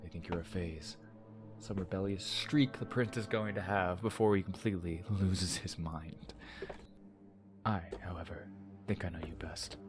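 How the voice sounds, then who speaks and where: A manipulative villain in an audio drama, in a lowish voice that often drops to a whisper. He is condescending and deliberate, taunting his listener over clear background music. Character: menacing, condescending man, soft and manipulative schemer.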